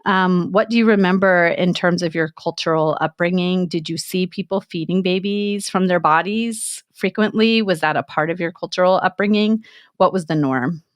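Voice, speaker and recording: A woman hosting a podcast as a lactation consultant, reading a scripted intro in a steady, measured way and then guiding an interview. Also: on the low side, no room sound, studio quality. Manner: steady, measured read with slow deliberate emphasis.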